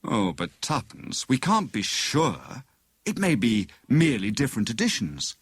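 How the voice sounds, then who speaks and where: Male narrator as boyish detective husband, earnest, puzzled, lively pitch swings, audiobook mystery. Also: a little slow, breathy edge, dry recording, studio quality, heavily acted. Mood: concerned. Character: genial, puzzled young husband, amateur detective.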